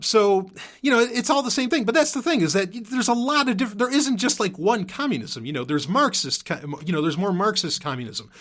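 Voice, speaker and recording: A man's voice: sits high, a bit bright. A man hosting a podcast in a casual, unscripted monologue, rambling and emphatic, often repeating himself and swearing casually. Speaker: podcast host.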